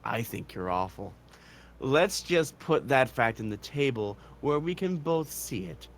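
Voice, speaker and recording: A man voicing a self-serious, pathetic, dopey troll in a comic chat-log reading, with pompous, wordy, halting speech. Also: medium pitch, lively intonation, noisy background, pitched below the performer's own voice. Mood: smug menace.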